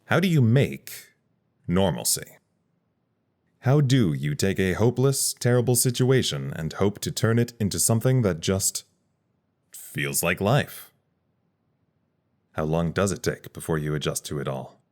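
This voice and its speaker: A man's voice: deep voice, expressive, a little mellow. An actor playing a weary, reflective man stranded at a secret facility, recording a musing, wry personal log in an audio drama. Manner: musing, wry, pausing between thoughts.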